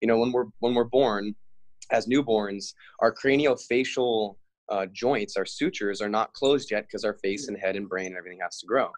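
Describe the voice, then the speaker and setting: Man, twenties, American accent, lower pitch, close dry sound, casual earnest explainer, slangy. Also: normal speed, dry recording. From Hawaii, Big Island, United States. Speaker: podcast guest, fitness and bodywork practitioner. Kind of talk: casual reflective interview talk.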